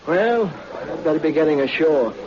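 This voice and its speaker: An American actor playing a brisk, folksy male police lieutenant in a 1940s radio drama. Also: a little high, expressive, a crowd in the background, close to an everyday voice. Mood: friendly. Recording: dull old radio recording, noisy background.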